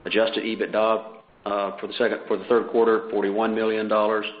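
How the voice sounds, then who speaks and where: A man's voice: lowish voice, monotone, fairly quick. A man speaking as a finance executive, giving a formal, businesslike scripted earnings presentation over a conference phone line. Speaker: chief financial officer presenting results. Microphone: conference call phone line.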